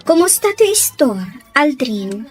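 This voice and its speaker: An actress with a Filipino accent playing a sweet, affectionate young student in love in a radio drama. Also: female voice, high-pitched, big pitch swings, takes it slowly, music in the background, full character voice. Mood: sweet, grateful.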